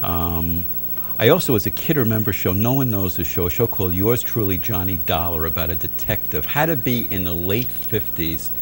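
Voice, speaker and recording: A man's voice: low voice, animated, a little fast. A middle-aged or older man hosting a casual interview, chatting, reminiscing and chiming in with agreement. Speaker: interview show host. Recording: audible background noise and hum.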